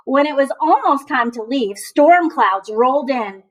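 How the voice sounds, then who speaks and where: A woman with a high voice reads a picture book to children. She is expressive and emphatic, like a teacher, breaking off to chat directly to her young listeners. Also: measured pace. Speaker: teacher reading aloud to children. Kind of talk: storybook read-aloud with asides to children.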